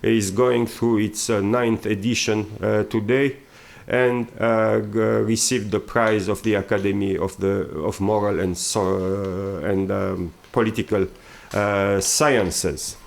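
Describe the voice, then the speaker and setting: A man with a fairly low voice moderates an academic symposium and introduces a guest lecturer. His delivery is formal but halting, with frequent fillers between phrases. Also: flat delivery, gravelly.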